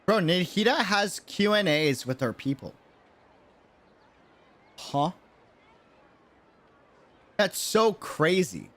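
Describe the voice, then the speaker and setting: A man's voice: high voice, very animated, slow, pauses often, fairly bright tone. A young American man reacting casually as a game streamer, slangy and emphatic, often repeating himself for effect. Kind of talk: casual livestream reaction commentary.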